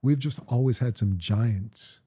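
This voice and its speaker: A man's voice: medium pitch, warm tone, slightly rough. A middle-aged man from Jamaica with a Jamaican accent, talking reflectively in a casual conversation with his daughter. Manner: reflective, measured storytelling. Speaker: interviewee, parent.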